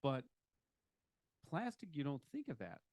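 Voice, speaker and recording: A man's voice: a little high, big pitch swings, measured pace, a little mellow, a little hiss or hum. A man co-hosting a casual podcast chat, rambling and joking as he talks, often restarting his sentences. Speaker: podcast co-host. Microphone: home podcast mic, video recorded.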